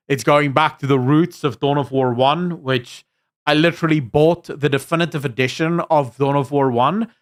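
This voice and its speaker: A man's voice: a little high, clean tone. A young adult Afrikaans man from South Africa with a mixed accent, hosting a live stream, reading chat and giving emphatic opinions with fillers and restarts. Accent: Afrikaans South African, mixed with American and British. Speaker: livestream host reading chat. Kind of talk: casual live chat commentary.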